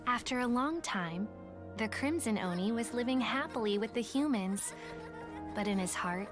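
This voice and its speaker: An actress playing a gentle storybook narrator, telling an old folk tale softly and without hurry. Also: female voice, fairly high pitch, expressive, lightly acted. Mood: wistful. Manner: soft, unhurried storytelling. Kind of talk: scripted fairy-tale narration. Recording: music clearly behind.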